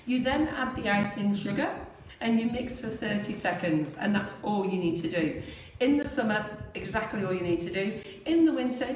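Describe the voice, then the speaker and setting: Chatty squeaky-voiced woman in echoey kitchen. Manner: chatty, laughs often, explains steps plainly. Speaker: baking show co-host. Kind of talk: live cake decorating demo. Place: home kitchen in Spain. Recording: echoey kitchen, far mic, hiss.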